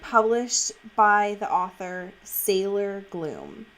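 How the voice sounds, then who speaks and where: A woman's voice: mid-range voice, animated, slow pace, a crowd in the background. Slangy, playful woman podcast co-host. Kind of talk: casual banter on a podcast.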